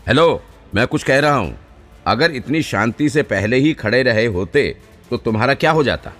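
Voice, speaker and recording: A man's voice: medium pitch, lively intonation, moderate pace, pitched above the performer's own voice. Man voicing a senior police trainer, angry, exasperated, scolding, raised voice, audio story, background music. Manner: scolding, indignant, shouting. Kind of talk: dramatized audio story dialogue.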